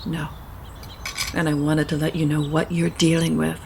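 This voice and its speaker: A woman's voice: low voice, little pitch movement, normal speed, gravelly, noisy background. An actress playing a genteel, protective middle-aged mother who holds grudges, in an audio soap opera. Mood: bitter.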